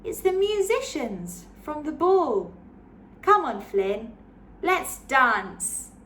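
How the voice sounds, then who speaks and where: A woman voicing a cheerful young princess, speaking high and excited. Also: drawn-out words, a little hiss or hum, full character voice.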